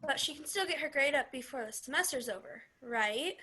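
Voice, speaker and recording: Very bright, high, dim sidekick girl, played by a woman. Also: lively intonation. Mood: clueless. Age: young adult. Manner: slow to catch on, guileless. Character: dim, naive teenage sidekick of a bully. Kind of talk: amateur stage play acting.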